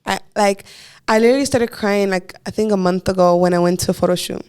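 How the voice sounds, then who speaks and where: A woman in her twenties co-hosting a podcast, telling personal stories in earnest, drawn-out casual chat full of "like". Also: medium pitch, a little mellow, smooth. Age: 20s. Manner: earnest, drawn-out storytelling with lots of "like". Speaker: podcast co-host.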